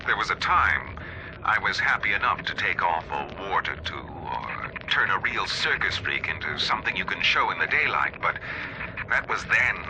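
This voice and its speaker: A vain mad surgeon in a dramatic game monologue. His low voice is theatrical and swings expressively as he broods. The recording is clearly noisy. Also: sing-song, normal speed. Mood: smug, reflective. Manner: theatrical, brooding, savouring words. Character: vain, obsessed mad surgeon, coldly rapturous.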